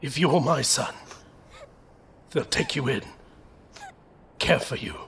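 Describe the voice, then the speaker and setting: A gentle young captain near death, acted by a man for an audio drama. His voice is weak and heavily creaky, and he speaks slowly in laboured, halting phrases. He stays resolute as he goes, over faint noise. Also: medium pitch, lively intonation, exaggerated voice. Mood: pleading, grieving. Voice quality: weak, creaky, breathy pauses.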